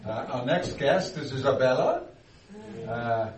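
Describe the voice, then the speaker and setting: A man hosting a church interview, speaking haltingly, with many fillers and restarts as he introduces and questions a guest. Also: mid-range voice, expressive. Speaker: host, interviewer. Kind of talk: casual interview. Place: church hall. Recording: echoey room, distant mic, faint noise.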